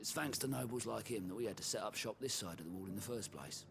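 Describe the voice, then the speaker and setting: An actor playing a blunt, scornful common trader in a fantasy game, with colloquial speech, over heavy background noise. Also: male voice, lowish voice, fast talker, creaky. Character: scornful, rough common male market trader. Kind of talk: scripted fantasy game acting.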